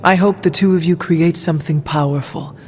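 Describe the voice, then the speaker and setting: A man's voice: on the high side, fairly even intonation, phone-quality sound, lightly acted. Man playing a resolute hero, long echoing reverb. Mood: earnest. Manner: measured, earnest, direct. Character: resolute, serious male warrior hero in a game. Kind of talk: scripted video game character dialogue. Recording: band-limited, reverberant game audio with music.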